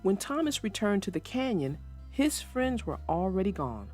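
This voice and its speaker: A woman's voice: mid-range voice, sing-song, moderate pace, darkish tone, faint music behind. A woman narrator and host reading and chatting theatrically for young children, addressing her young readers directly. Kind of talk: expressive read-aloud and chat for young children.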